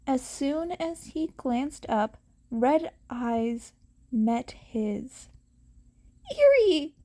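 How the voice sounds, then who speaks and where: A woman reading fan fiction aloud as an expressive narrator. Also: a little high, extremely slow. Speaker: podfic reader.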